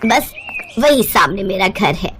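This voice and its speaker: A woman's voice: mid-range voice, big pitch swings, unhurried, a bit bright, slightly rough, full character voice. Woman voicing a kindly, chatty old auntie. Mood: friendly, chatty. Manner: affectionate, reassuring, talkative. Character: kindly elderly Gujarati woman, chatty cooking teacher. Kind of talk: animated storybook character voice.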